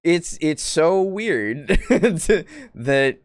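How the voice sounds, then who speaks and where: A man's voice: a little high, expressive, drawn-out words, clear, resonant tone, no room sound, clean studio sound. A man co-hosting a podcast, casually discussing roleplaying games in a hesitant, rambling way, as if thinking aloud. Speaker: podcast co-host.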